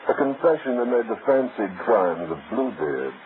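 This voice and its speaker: An actor playing an authoritative detective who questions and narrates with formal drama in an old radio crime show. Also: male voice, fairly low pitch, big pitch swings, normal speed. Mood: grave narration. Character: hard-boiled male detective, probing, authoritative narrator of cases. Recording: tinny, noisy old radio recording.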